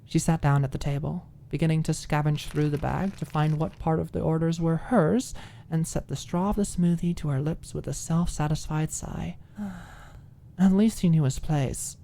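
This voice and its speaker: A woman reading a scripted fiction audiobook in an expressive, ASMR storytelling style. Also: deep voice, moderate pace, creaky, a little hiss or hum. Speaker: audiobook narrator.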